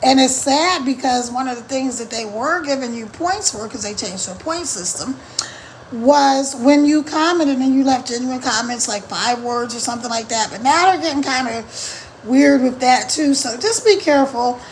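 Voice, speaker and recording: A middle-aged woman, a little hoarse, chatting casually on a live stream as an online marketing coach, emphatic and repeating her key points. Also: sits high, moderate pace, a little hiss or hum, low-quality recording.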